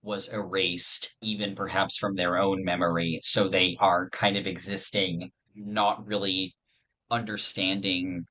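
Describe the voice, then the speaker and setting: A man's voice: low voice, little pitch movement, unhurried, bright, forceful tone, rough recording. A man in a casual podcast discussion, explaining fictional lore in earnest detail. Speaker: podcast panelist.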